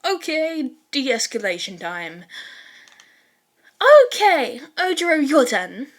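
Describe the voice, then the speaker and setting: A woman's voice: high-pitched, very animated, takes it slowly, heavily acted. A woman voicing a bubbly, dramatic teenage girl with exaggerated delivery in a story reading. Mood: loud, urgent. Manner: over-the-top, exaggerated delivery.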